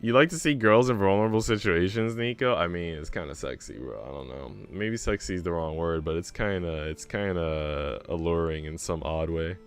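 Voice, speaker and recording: A man's voice: low voice, moderate pace, few pauses, smooth. A man streaming, chatting in a slangy, rambling way between bits of a visual novel he is reading. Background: game music.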